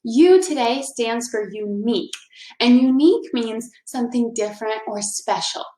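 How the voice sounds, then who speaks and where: A woman's voice: a little high, normal speed, mellow. A woman teacher reading a picture book aloud and explaining things simply for young children. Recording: roomy, echoing indoor sound.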